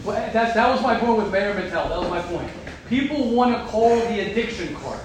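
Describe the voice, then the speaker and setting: Forceful high-voiced man in an echoey room. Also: animated, fairly quick. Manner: forceful, repeats phrases for emphasis. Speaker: teacher addressing a group of young men. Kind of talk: emphatic religious talk to a group. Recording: very roomy echo with faint background hum.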